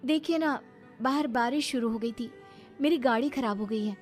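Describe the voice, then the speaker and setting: A woman's voice: on the high side, moderate pace, a little mellow, faint music behind, pitched above the performer's own voice, close to an everyday voice. A woman voicing a polite, alluring young woman asking for help in a horror cartoon. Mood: polite, pleading.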